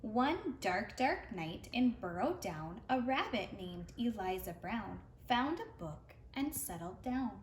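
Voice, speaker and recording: A woman's voice: mid-range voice, very animated, slow pace, hardly any pauses. A woman reading a rhyming picture book aloud expressively for preschool children. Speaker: read-aloud storyteller for preschoolers. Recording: roomy sound with faint background noise.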